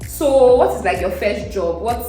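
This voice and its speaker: A woman's voice: mid-range voice, lively intonation, warm tone. Giggly Nigerian woman hosting, echoey room. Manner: playful, giggly, quick questions. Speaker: host. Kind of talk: casual interview hosting. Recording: echoey room, mic at a distance, faint music.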